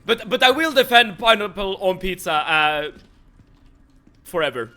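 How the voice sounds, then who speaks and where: High, bright-voiced streamer man. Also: animated, measured pace. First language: Swedish. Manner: chatty, stammers and repeats words, laughs often. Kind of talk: casual chat while playing a game.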